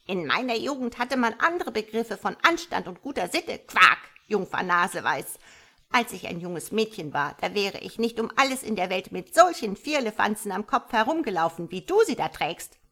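A woman's voice: on the low side, lively intonation, normal speed, bright, forceful tone, full character voice. A woman voicing an old mother frog in a storybook, tearful, scolding and old-fashioned, with quacking interjections. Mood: indignant. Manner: scolding, lamenting, punctuated with croaky quacks.

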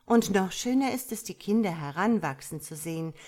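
A woman's voice: medium pitch, expressive, moderate pace, a little mellow, close to an everyday voice. A woman voicing a cheerful, chatty starling in a storybook reading, breezy and a little lecturing. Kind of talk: storybook character voice.

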